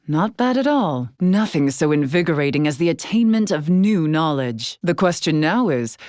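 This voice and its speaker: Stately, low-voiced warrior scholar. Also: female voice, sing-song, normal speed, darkish tone, no echo, clean studio sound. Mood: composed, pleased. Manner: stately, archaic formal diction. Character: dignified, formal woman warrior-scholar of a proud people. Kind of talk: scripted video game character lines.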